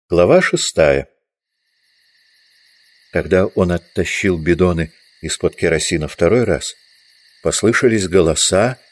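A man reading scripted literary narration as the narrator of an audio drama. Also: sits low.

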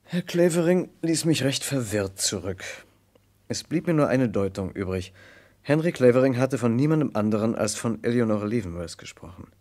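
A man's voice: mid-range voice, animated, moderate pace, a little mellow, close to an everyday voice. A man playing an earnest, inquisitive lawyer in an old German radio crime play, with a precise, formal delivery. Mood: measured narration. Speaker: narrator and lead character. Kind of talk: German radio crime play acting from the mid-20th century.

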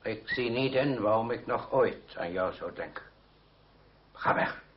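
An actor playing a stern, hot-tempered older uncle in a radio play, curt and commanding. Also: male voice, fairly low pitch, measured pace, natural voice. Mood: cold anger. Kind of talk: Dutch radio play acting. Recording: dull, noisy old radio recording.

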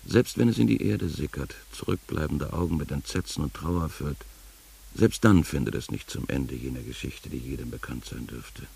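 A man acting a world-weary, sardonic philosopher in a poetic radio play, with a gravelly voice and a musing, drawn-out delivery. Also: rumbling low, a little mellow, exaggerated voice. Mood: wry, resigned.

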